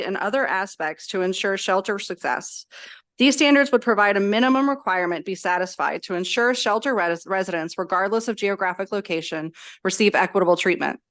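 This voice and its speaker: A woman's voice: mid-range voice, a bit bright. A woman legislator giving earnest, formal prepared testimony at a committee hearing, speaking into a desk microphone. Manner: earnest, reads steadily from prepared remarks.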